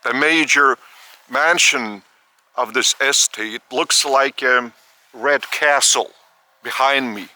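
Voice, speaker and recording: A man from Lithuania, giving a spiritual talk outdoors in the park of an old estate, speaking slowly in short, halting phrases. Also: medium pitch, pushed and bright. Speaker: spiritual teacher.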